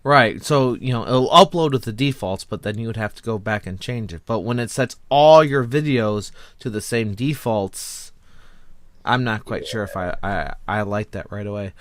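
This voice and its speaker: A man hosting a casual panel discussion, speaking in a halting way and repeating words as he goes. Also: fairly low pitch, no echo, studio quality. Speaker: podcast host.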